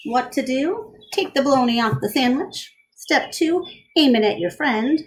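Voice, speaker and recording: A woman's voice: high voice, animated, moderate pace. Woman reading aloud expressively to children. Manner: questioning, explains to young listeners. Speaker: read-aloud storyteller. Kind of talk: expressive picture-book read-aloud for children, with chatty asides.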